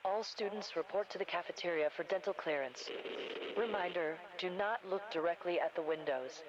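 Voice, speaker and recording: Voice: mid-range voice, normal speed. A performer voicing an eerie school announcer, formal and detached, issuing strange rules over a public-address speaker. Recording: dull, clearly noisy PA-style audio.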